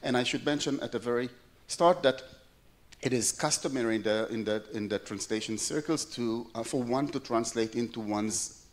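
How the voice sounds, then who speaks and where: A man's voice: medium pitch, moderate pace. A middle-aged man whose first language is Hebrew, giving a plenary conference lecture in a large hall, with many fillers and restarts. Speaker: plenary speaker.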